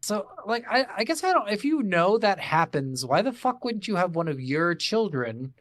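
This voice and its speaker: A man with a fairly high voice co-hosts a gaming podcast in a casual, foul-mouthed way. He rants in disbelief and acts out the lines he quotes. The sound is close and dry. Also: big pitch swings, normal speed, a bit bright, no echo. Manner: incredulous ranting, heavy swearing, acts out quoted lines. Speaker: video game podcast co-host. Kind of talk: casual, profane game review chat.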